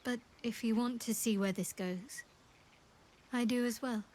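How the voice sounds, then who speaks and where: A woman playing a devout young cleric of a dark goddess in a video game, earnest, formal and guarded. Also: fairly high pitch, measured pace. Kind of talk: video game dramatic dialogue.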